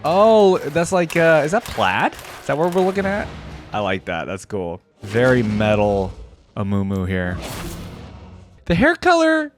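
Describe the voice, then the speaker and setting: A man's voice: mid-range voice, huge pitch swings, measured pace, over music. A man doing casual reaction commentary as a video host, musing and thinking aloud in an informal way.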